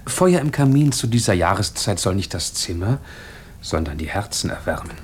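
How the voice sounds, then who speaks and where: A wealthy master of the house in a radio play, speaking low with very animated intonation. He is wry and evasive, sliding into grand, flowery turns of phrase over a noisy recording. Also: normal speed. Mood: urbane, flowery. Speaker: actor. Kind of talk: German radio drama acting.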